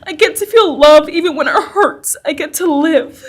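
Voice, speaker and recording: Young woman, high register, emotional. Solemn courtroom statement, delivered in short emphatic phrases. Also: expressive, normal speed. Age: young adult. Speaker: survivor giving a victim impact statement. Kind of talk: prepared personal statement read in court.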